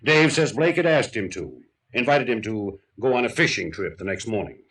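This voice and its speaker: An actor playing a weary, earnest male town mayor in a 1950s radio drama, speaking in a measured, careful, resigned way. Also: lowish voice, animated, fairly bright tone, recorded from a distance. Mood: earnest, guarded. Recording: off-mic vintage radio recording.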